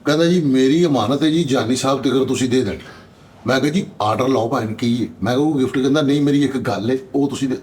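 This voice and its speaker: A man's voice: mid-range voice, quick pace, darkish tone. A man with a Pakistani Punjabi accent telling anecdotes in casual chat on a comedy show.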